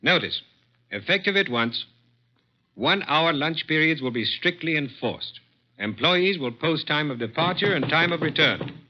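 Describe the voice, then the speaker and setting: Imperious office boss, highish animated voice, clipped and unforgiving, dull vintage radio. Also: male voice, moderate pace. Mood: officious. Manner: brusque, clipped. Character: curt, imperious, unforgiving male advertising executive boss. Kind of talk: 1940s radio acting.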